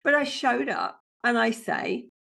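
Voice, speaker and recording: A woman guest with a croaky, chesty voice, speaking bluntly and plainly in a casual interview. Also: a little high, wildly swooping pitch, measured pace, fairly bright tone. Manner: blunt, down-to-earth. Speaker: guest, passive income strategist.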